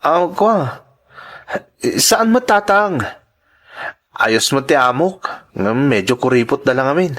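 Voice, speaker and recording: A man's voice: on the high side, sing-song, slow pace, long pauses, a bit bright, exaggerated voice. An actor as an earnest Filipino migrant worker, male. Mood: evasive, reassuring. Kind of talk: Ilocano radio drama acting.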